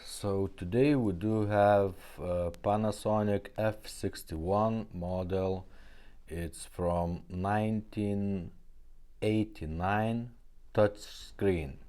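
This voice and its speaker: A man's voice: lowish voice, fairly even intonation, drawn-out words, warm tone, clear, resonant tone. A man presenting a car radio demonstration in a casual style, speaking in short phrases and often checking in with the listener.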